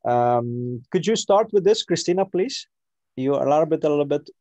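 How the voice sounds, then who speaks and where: A man's voice: a little high, expressive, moderate pace, dark tone, no echo. A man from Afghanistan moderating an online panel, hesitant with many fillers and restarts.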